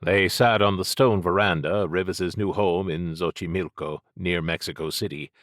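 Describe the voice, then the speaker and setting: A man's voice: deep voice, fairly even intonation, normal speed, fairly bright tone, dry recording, studio quality. A man narrating scripted fiction as an audiobook reader.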